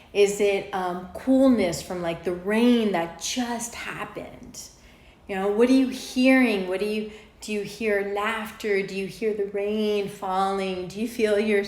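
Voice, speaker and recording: A woman leading an unscripted guided meditation in an informal, conversational way, with halting pauses. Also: mid-range voice, a little mellow. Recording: roomy echo on the voice.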